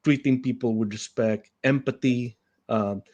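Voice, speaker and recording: A man from the Philippines, a leadership coach answering interview questions in an earnest, measured way. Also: mid-range voice, fairly even intonation, a little mellow, highs cut off.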